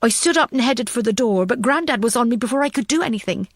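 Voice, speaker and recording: A woman's voice: fairly high pitch, animated, fast talker, no room sound, clean studio sound. A woman reading a scripted thriller audiobook as narrator.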